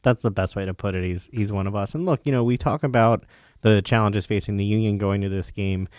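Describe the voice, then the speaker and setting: A man's voice: lowish voice, brisk, a little mellow, no echo, studio quality. A man hosting a sports talk show, interviewing a guest in a conversational way. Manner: conversational, leading questions.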